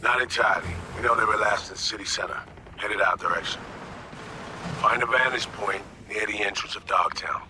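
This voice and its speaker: Male actor as composed spy handler, lowish pitch, measured, watchful, instructing, game cutscene, clear background noise. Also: close to an everyday voice. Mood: businesslike. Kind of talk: cinematic video game acting.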